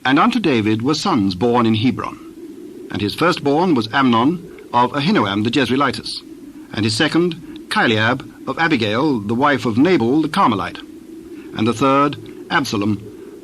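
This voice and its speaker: A man reads scripture as narrator. His medium-pitched voice is solemn and measured, placing each phrase separately. Noticeable noise sits behind the reading. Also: a bit bright, poor audio.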